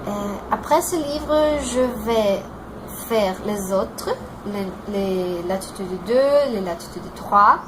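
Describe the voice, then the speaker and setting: A Brazilian woman in her twenties with a Brazilian Portuguese accent, introducing herself in a learned language and pausing often to search for words. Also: medium pitch, expressive, noisy background. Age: 20s. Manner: halting, searching for words, many fillers and restarts.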